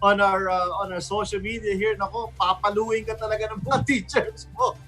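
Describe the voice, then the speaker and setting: A man's voice: high-pitched, fairly even intonation, brisk, pushed and bright, soft background music. A man with a Filipino accent hosting a casual radio discussion, speaking hesitantly and switching between English and Tagalog. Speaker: online radio host.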